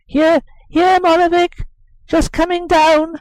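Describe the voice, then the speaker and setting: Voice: extremely high pitch, level tone, slow, dry recording, pitched above the performer's own voice, rough recording. A reader voicing an eager, clever young boy who talks in an insistent rush. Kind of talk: audiobook character voice.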